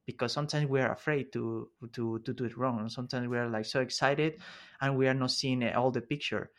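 Halting Mexican-accented man. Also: medium pitch, fairly even intonation. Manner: searching for words, halting, many restarts. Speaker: podcast host. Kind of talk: casual podcast interview.

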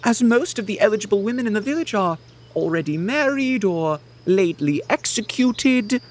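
A woman's voice: mid-range voice, animated, normal speed, a little mellow, close to an everyday voice. A woman playing a pompous, condescending young Puritan male suitor in a comedic audio play, with flowery phrasing. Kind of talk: comedic audio theater acting.

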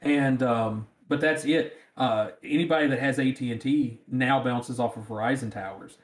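A man's voice: mid-range voice, normal speed. A man with a rural Southern American accent, chatting casually. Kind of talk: casual chat. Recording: roomy, echoing sound.

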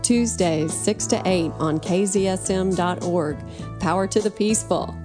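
Woman, radio promo, lowish voice, music underneath. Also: a little slow. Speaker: radio show host, promo. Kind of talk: station promo.

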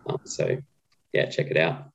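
A man talking casually as an interview guest, relaxed and hesitant with frequent ums. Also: unhurried, highs cut off. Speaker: guest, co-op policy adviser.

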